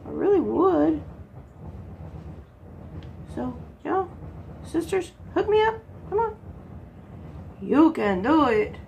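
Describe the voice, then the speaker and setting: Slow-talking congested woman. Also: high-pitched, very animated, vocal fry, darkish tone, a little hiss or hum, poor audio. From Texas. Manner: chatty, self-mocking, answers her own questions. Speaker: crafting vlogger. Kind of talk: casual chat while crafting.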